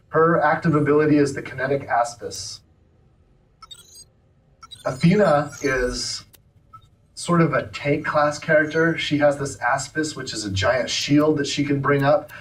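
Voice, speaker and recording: A man's voice: medium pitch, far from the mic, poor audio. A man giving loose live commentary on a game demo, with lots of fillers. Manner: casual, hesitant, many fillers. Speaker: game developer.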